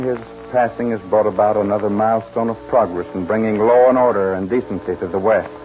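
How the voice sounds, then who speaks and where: An actor playing a dignified, earnest frontier eulogist, speaking solemnly and oratorically in a 1940s western radio drama. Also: male voice, fairly low pitch, darkish tone, lightly acted. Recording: muffled 1940s radio recording.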